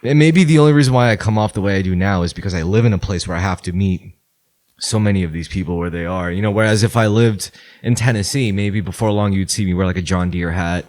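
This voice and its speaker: New york dude on a podcast, lowish voice, swears a lot and laughs, kinda rambly. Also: male voice, fast, a little mellow. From New York, USA. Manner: rambling, profane, laughs often. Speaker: podcast co-host. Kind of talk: casual chat.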